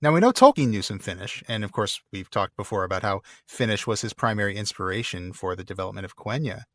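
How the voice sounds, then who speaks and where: A man's voice: on the low side, expressive, fast talker, fairly bright tone, no echo, clean studio sound. A man co-hosting a podcast, reading listener mail and explaining word origins with enthusiasm, laughing often. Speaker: podcast co-host.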